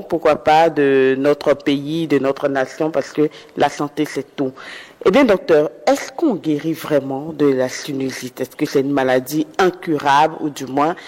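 A male host questioning a doctor in a health interview, hesitant with fillers but insistent. His voice sits somewhat high for a man. A long reverb hangs on the sound. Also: a little mellow.

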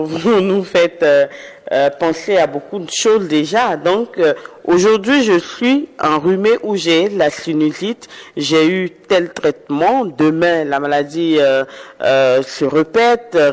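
A man's voice: on the high side, takes it slowly, big-room sound. A man hosting a health interview, asking the doctor questions with some fillers and urging listeners to follow advice. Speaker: interviewer host.

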